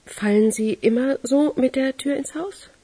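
An actress playing a wry, aloof translator with a dry, teasing way of talking in a German radio crime drama. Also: female voice, high-pitched, unhurried, warm tone, husky. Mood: amused, reserved. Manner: dry, teasing, self-deprecating. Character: wry, aloof woman translator with a bad back.

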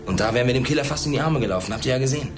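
A man's voice: mid-range voice, very fast, close to an everyday voice. A young man playing a guarded, streetwise teenage schoolboy with terse, slangy delivery in a German radio drama. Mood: recounting, tense.

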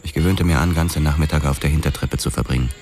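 A hard-boiled boxer-cop tells his story in a very low, bottomed-out voice. His delivery is terse and grim, in the style of a noir radio drama. Music plays clearly underneath. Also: fast talker, darkish tone. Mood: dry, reflective. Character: hard-boiled boxer turned Los Angeles policeman narrating his past. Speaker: narrator and lead actor. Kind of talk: scripted first-person noir radio drama narration. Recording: music behind the narration.

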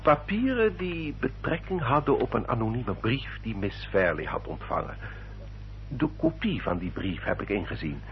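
An actor playing a courteous, self-justifying English baronet in a radio drama, with a formal, polished and elaborately polite manner. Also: male voice, mid-range voice, big pitch swings, normal speed. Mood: suave, explaining. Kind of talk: old radio drama acting. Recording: dull old radio recording, faint hum.

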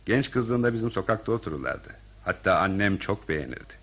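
Pompous, evasive office director, slightly husky. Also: male voice, deep voice, lively intonation, a little fast, fairly bright tone, full character voice. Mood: smitten, wistful. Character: pompous, callous, self-serving male office director. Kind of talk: radio theatre acting.

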